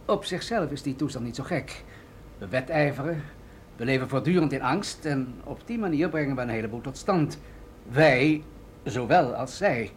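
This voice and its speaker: An actor in a radio drama playing an authoritative military general, grave and deliberate as he explains things. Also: mid-range voice, lively intonation, slight background noise, close to an everyday voice. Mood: earnest, persuasive.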